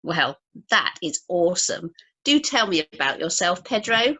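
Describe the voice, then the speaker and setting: Casting: actress as nosy, chatty expectant young woman, very animated melody, sharp bright tone, radio play gusto. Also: lowish voice, a little slow, slightly rough, exaggerated voice. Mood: curious, gossipy. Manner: gushing, gossipy. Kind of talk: amateur radio play acting.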